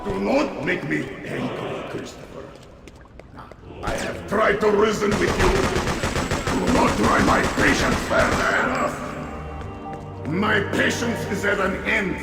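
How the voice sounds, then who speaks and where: An actor playing a gloating Soviet spymaster in a video game, menacing and deliberate. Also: male voice, sits high, creaky, exaggerated voice. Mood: cold menace. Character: gloating Soviet spymaster villain revealing his betrayal. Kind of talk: theatrical video game villain acting. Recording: echoey hall, loud music behind.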